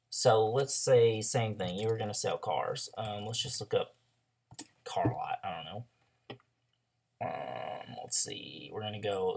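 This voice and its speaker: Hoarse young man with a gravelly voice. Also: on the low side. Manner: loose and chatty, lots of ums and likes. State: hoarse after a throat illness. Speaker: online ads coach. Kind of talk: casual screen-share tutorial.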